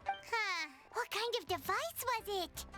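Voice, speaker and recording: An actress playing a tiny, chirpy, childlike fairy companion in a video game, cutesy and excitable. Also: female voice, extremely high pitch, slow, over music, exaggerated voice. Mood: curious, excited. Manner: cutesy, refers to herself by name.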